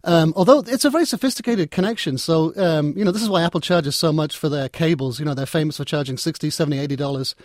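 Fast-paced man, slightly high pitch, interview guest, discursive, conversational. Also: dry recording, studio quality. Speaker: interview guest, technology writer and editor.

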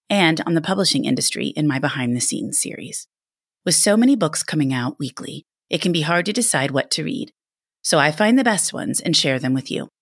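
A woman's voice: deep voice, dry recording, clean studio sound. A woman hosting a podcast, speaking in a presenter's interview and introduction style. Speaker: podcast host. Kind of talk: scripted intro and book interview.

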